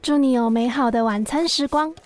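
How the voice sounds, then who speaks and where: A woman's voice: high voice, moderate pace, a little mellow, a little hiss or hum, close to an everyday voice. An actress playing a chatty, friendly woman at a shop who encourages others, in radio drama dialogue.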